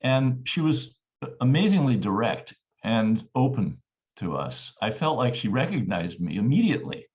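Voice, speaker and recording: A man telling a friendly, halting story about meeting someone decades ago. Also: mid-range voice, sing-song, darkish tone. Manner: friendly, halting, repeats words. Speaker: audience member recalling a memory. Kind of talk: casual reminiscence. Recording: dull lo-fi audio.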